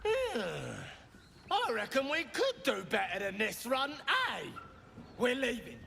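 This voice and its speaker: A man voicing a cruel, jeering goblin gang boss in a video game, shrill and gravelly. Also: sing-song, takes it slowly, sharp tone, slight background noise, full character voice. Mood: bossy.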